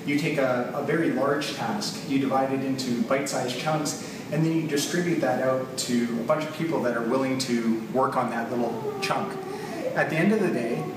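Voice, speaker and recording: A man's voice: medium pitch, normal speed. A man in his thirties with a Canadian accent, giving an informal, explanatory presentation and thinking aloud in a conversational way. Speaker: software developer presenting a product. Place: large open office loft, indoors. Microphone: camera mic a few metres away. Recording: echoey open room, distant camera mic, noisy. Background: clear background room noise.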